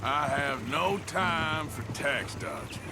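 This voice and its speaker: A man's voice: fairly high pitch, sing-song, slow, heavy background noise. An actor playing a laconic outlaw gunman in his thirties in a western game, American accent, dry and sardonic. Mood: wry. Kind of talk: western video game acting.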